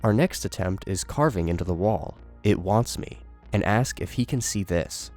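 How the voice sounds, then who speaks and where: A man's voice: deep voice, moderate pace, darkish tone, faint music behind. A man giving scripted narration and commentary as a horror series commentator.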